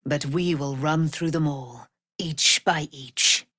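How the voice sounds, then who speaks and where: Regal female warrior knight for a fantasy game: righteous, vengeful, grand archaic declamation, slow measured delivery. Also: deep voice, a bit bright, husky, dry recording, clean studio sound, heavily acted. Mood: resolute. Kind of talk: theatrical fantasy game voice acting.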